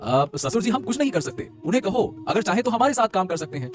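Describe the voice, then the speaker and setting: A man's voice: high-pitched, very animated, fast talker. A man voicing an earnest young husband in a Hindi cartoon, reasoning and pleading with hesitant insistence. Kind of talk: Hindi moral-story cartoon voice acting. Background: music.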